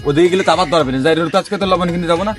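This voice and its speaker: A man's voice: fairly high pitch, steady tone, rapid-fire, soft background music, close to an everyday voice. A man playing a weary, grumbling village listener in a comedy skit, with a rural Bangladeshi Bengali accent. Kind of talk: comedy skit acting with colloquial dialogue.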